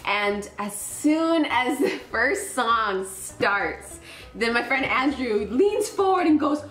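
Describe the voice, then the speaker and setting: A woman's voice: a little high, animated, slow pace, fairly bright tone, reverberant, faint music behind. A woman telling a personal anecdote casually and animatedly, acting out remembered reactions as she goes. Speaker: storyteller recounting a personal anecdote.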